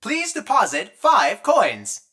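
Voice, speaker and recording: An actor voicing a polite animatronic machine in a video game, giving repetitive, formulaic announcements. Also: male voice, high voice, wildly swooping pitch, slow pace, bright, forceful tone, full character voice. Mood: mechanical politeness.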